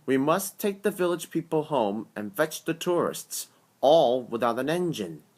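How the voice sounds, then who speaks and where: A man's voice: a little high, big pitch swings, a little slow, darkish tone. A man doing a brusque railway boss. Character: brisk, fussy male railway boss.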